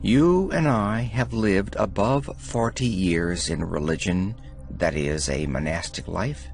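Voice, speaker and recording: Male audiobook narrator, very deliberate pacing, measured and solemn, faint music underneath. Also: low voice, creaky. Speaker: audiobook reader. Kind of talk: scripted reading of devotional letters.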